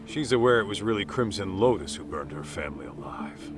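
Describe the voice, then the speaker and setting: An actor playing a gruff, easygoing male street detective in a game cutscene, talking bluntly and slangily. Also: deep voice, very animated, fairly quick, music in the background. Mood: measured, serious. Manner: blunt, casual slangy talk. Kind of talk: dramatic game cutscene dubbing.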